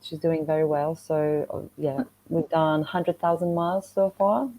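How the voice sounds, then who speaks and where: A woman with a Polish accent and a low-pitched, soft-edged voice. She talks in a chatty, animated way, like a guest casually telling travel stories. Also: a little slow, poor audio. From Poland. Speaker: podcast guest, motorcycle traveller and content creator. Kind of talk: casual conversational storytelling.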